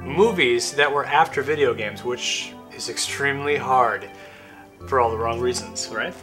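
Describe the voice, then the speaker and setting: A man's voice: mid-range voice, unhurried, a bit bright. A man co-hosting a casual top-five list show, with an upbeat, bantering way of talking. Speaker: co-host. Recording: music playing behind the voice. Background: music.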